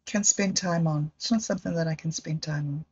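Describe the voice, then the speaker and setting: A middle-aged woman hosting a livestream, telling personal stories in a slow, thoughtful, reflective way. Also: low voice, darkish tone, slightly rough. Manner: slow, thoughtful storytelling with emotional quotes. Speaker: livestream host. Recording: dull lo-fi livestream audio.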